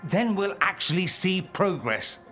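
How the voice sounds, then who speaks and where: Flamboyant sardonic noble schemer from a video game, really lively highish voice, theatrical. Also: male voice, a little slow, faint music behind, heavily acted. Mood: persuasive. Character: suave theatrical nobleman, a scheming impassioned reformer. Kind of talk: video game dialogue acting.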